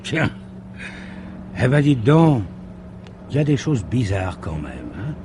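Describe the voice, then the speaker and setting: A man's voice: medium pitch, big pitch swings, slow, noisy background. A man playing a plain-spoken, obliging suburban driver in a French radio mystery drama, musing in colloquial phrases. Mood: puzzled.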